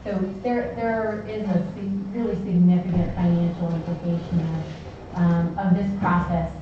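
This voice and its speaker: A woman with a Maine accent speaks to a town board in a low, even voice, pausing on frequent ums. She sounds far from the mic in a very echoey meeting room. The recording is dull and muffled. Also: slight background noise. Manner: measured, many ums and restarts. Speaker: town official presenting to the board. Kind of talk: formal public meeting report.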